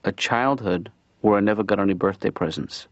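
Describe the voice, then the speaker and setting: A man voicing an earnest, defensive middle-aged father in a cartoon, speaking haltingly in a conversational, improvised style. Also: fairly low pitch, no echo, highs cut off, close to an everyday voice. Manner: halting, stammering, mild-mannered.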